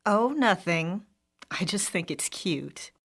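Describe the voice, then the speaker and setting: A woman's voice: fairly low pitch, expressive, slow pace, full character voice. A woman playing an easygoing, teasing mother in an audio drama, with a light manner. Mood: dreamy teasing.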